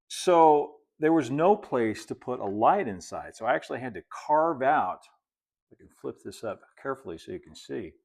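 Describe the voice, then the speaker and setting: Guy in his 50s, kinda low voice, easygoing folksy how-to talk while working on a hobby build. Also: a little mellow. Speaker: hobbyist presenter of model railroad build videos. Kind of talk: casual hands-on tutorial narration. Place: indoor hobby workshop.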